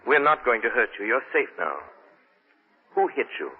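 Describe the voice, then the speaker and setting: A man playing a concerned, thoughtful gentleman sleuth in a 1930s radio drama. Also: mid-range voice, big pitch swings, normal speed, slightly rough. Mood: gentle, grave. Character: suave, caring wealthy amateur sleuth, a man. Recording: narrow vintage radio recording, crowd noise.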